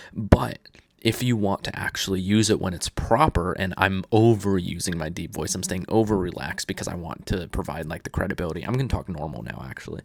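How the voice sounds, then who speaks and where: A young man giving casual advice to camera in a relaxed, chill way, slipping between higher and deeper pitches as he talks. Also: vocal fry. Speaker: self-improvement vlogger.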